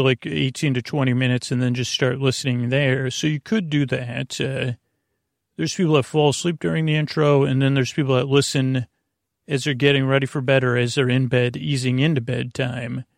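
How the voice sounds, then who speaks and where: A man hosting a sleep podcast in a creaky voice, meandering and halting through whimsical, self-deprecating tangents as he eases listeners toward bed. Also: mid-range voice, no room sound, clean studio sound. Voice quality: creaky, gravelly. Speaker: podcast host. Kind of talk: rambling bedtime sleep podcast monologue.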